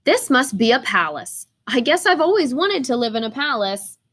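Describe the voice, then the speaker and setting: A woman voicing a small, gentle toy bear from a storybook, wondering aloud in a wistful, earnest way. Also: sits high, very animated, normal speed, full character voice. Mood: dreamy wonder.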